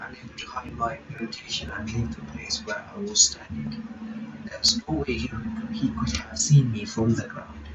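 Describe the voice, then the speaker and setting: A man casually reads a visual novel aloud and chats about it, pausing and thinking aloud. He sounds set back from a dull, low-fidelity mic, and steady noise runs underneath. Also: distant mic. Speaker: game reaction streamer.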